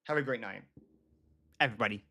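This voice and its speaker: An American man from Michigan chatting casually to his livestream viewers, halting and often repeating himself. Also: on the low side, creaky, sharp tone, a little hiss or hum. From Michigan, United States. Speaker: livestream host.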